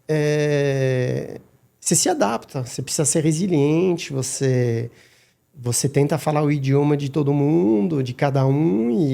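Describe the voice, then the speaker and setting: A middle-aged man, a guest on a podcast, talking casually and haltingly with many restarts and repeated words. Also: medium pitch, vocal fry, dark tone. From Madagascar. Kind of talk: casual interview talk.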